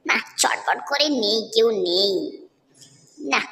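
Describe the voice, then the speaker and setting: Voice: medium pitch, lively intonation, slow pace, bright, forceful tone. An actor voicing a sly, greedy fox in a cartoon animal story, muttering to itself with self-satisfaction. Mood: greedy glee. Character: sly, greedy fox relishing stolen chickens. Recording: long hall-like reverb on the voice.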